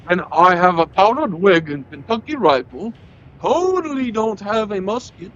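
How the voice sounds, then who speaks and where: A man's voice: high voice, very animated, moderate pace, fairly bright tone. A man vlogging in a rambling, joking way, cursing freely and stumbling over his words. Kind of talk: rambling comedic monologue. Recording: slightly dull sound with faint music.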